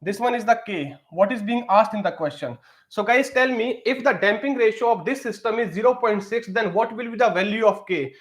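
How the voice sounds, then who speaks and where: A man's voice: high-pitched, brisk. A man runs a live-streamed exam prep lesson. He leans on fillers like "you know" and keeps asking viewers to post answers in the comments. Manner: repeats pleas for comments, many fillers.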